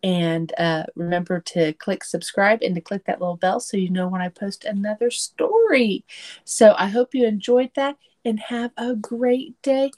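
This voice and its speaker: A middle-aged woman reading a picture book aloud to children in an expressive, sing-song way, recorded at home on a computer mic. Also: medium pitch, moderate pace. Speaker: children's storyteller. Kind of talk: children's picture book read-aloud with asides to young listeners. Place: small room at home. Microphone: computer mic on a video call setup.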